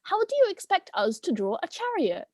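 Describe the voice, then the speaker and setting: A woman's voice: very high voice, sing-song, brisk, dry recording, studio quality. A woman playing a pompous, theatrical talking goat, indignant and wordy, in an amateur myth play reading.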